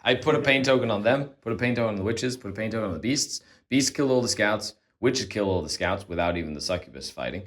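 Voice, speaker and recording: A man with a hoarse, worn-out voice, hosting and explaining a tabletop wargame turn by turn in casual chat. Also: lowish voice, animated, fast talker, few pauses. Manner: chatty, explains moves step by step. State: hoarse, tired voice. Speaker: host. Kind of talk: casual battle report commentary.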